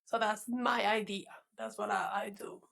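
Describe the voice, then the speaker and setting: A woman's voice: mid-range voice, fairly even intonation, normal speed, creaky, a bit bright. A woman from Venezuela with a Venezuelan Spanish accent, a native Spanish speaker, chatting as a guest about her fitness coaching. She talks in a chatty way, laughs often and restarts words. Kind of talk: casual interview chat.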